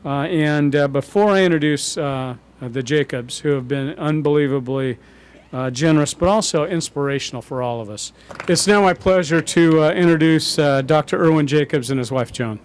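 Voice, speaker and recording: A man giving an earnest ceremony introduction, pausing often with hesitations as he speaks from a podium. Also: fairly high pitch, clear, resonant tone. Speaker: master of ceremonies.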